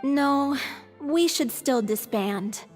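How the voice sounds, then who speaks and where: Slow, high, gentle young woman. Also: lively intonation, exaggerated voice. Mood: resigned. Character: gentle, frail young woman of a theater troupe. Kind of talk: scripted game voice acting. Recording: long reverb, music behind.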